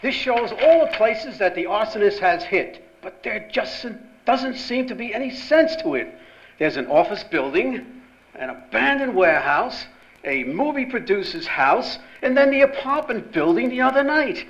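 A brisk, jovial American newspaper chief from an old-time radio play. His voice is rather high for a man and swings about a great deal, bossy but fatherly. The recording is muffled. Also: big pitch swings, a bit bright, exaggerated voice. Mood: brisk, puzzled. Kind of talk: 1940s-style radio drama acting. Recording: dull lo-fi sound, faint noise behind.

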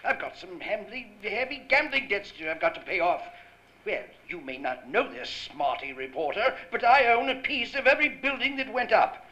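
An actor playing a menacing jealous husband and arsonist in a 1940s-style radio drama, snarling, sarcastic and contemptuous. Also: male voice, high-pitched, sing-song, normal speed, pushed and bright, dull audio, exaggerated voice. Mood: sneering, menacing.

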